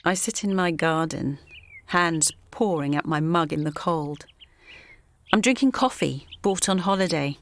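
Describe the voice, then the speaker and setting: A woman's voice: sits low, measured pace. An actress playing a wistful, reflective woman from small-town Essex, delivering a first-person audio drama monologue. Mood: reflective, quiet contentment.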